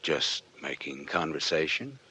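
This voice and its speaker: An actor playing a tough, wisecracking private detective, terse and sardonic, in the style of 1940s radio noir. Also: male voice, extremely low pitch, sing-song, unhurried, bright, forceful tone, breathy edge, exaggerated voice. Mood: wary, dry. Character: hard-boiled, wisecracking male private detective. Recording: muffled old radio recording with music. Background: music.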